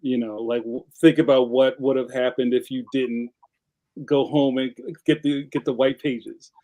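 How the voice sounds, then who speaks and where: A man's voice: mid-range voice, flat delivery, a little slow, warm tone, rough recording. A man interviewing a guest in a casual, conversational podcast style.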